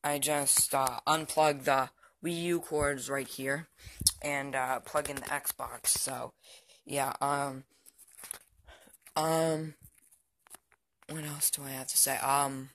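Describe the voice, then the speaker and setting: A man's voice: mid-range voice, little pitch movement, takes it slowly, long pauses, fairly bright tone, slight background noise. A young male, teenage or barely adult, giving a casual, unscripted show-and-tell in a hesitant, rambling way that often trails off. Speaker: amateur vlogger. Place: indoors at home, by the TV.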